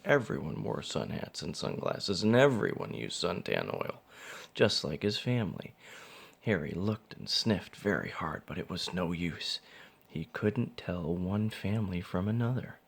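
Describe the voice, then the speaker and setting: A man's voice: deep voice, animated, normal speed, creaky, breathy edge. A man reading a children's storybook aloud as narrator. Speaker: father reading a picture book.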